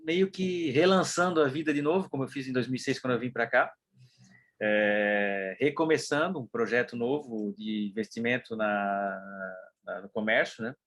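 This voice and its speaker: A Brazilian man with a southern Brazilian accent, a guest telling stories casually in an interview, lively and full of fillers. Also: mid-range voice, normal speed. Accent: southern Brazilian Portuguese. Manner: animated storyteller, mimics people, many fillers like né. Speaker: interview guest, business consultant.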